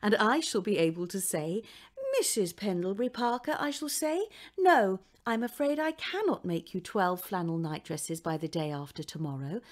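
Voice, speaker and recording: A woman's voice: medium pitch, expressive, moderate pace, natural voice. A woman reading as a cheerful, affectionate storybook mother. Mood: playful, reassuring. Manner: tender, reassuring.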